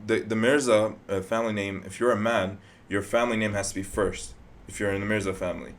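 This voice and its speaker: A young man hosting a casual podcast chat, easygoing and quick to chime in with short agreements. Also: fairly low pitch, fairly even intonation, a little hiss or hum. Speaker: podcast host.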